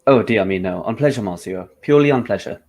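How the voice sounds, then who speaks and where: A man's voice: on the low side, expressive, fast, a little mellow, natural voice. An actor playing a dry, insistent male English detective with formal, clipped courtesy in a radio drama. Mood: polite, firm.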